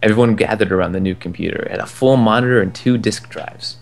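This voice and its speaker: A young man reading a children's book aloud in a relaxed way, chuckling now and then at the text. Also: on the low side, quick pace, vocal fry. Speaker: storyteller. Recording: soft game music behind the voice.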